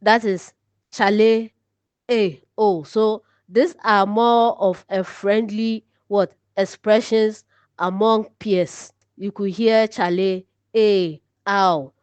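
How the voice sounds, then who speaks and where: A woman with a Ghanaian accent delivers an online lesson in a smooth, clear voice. She takes her time, explaining each point deliberately. The recording is dry but a little dull. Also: mid-range voice, fairly even intonation, a little mellow, no echo. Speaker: teacher presenting an online lesson. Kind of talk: instructional lecture. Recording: slightly dull, lo-fi audio.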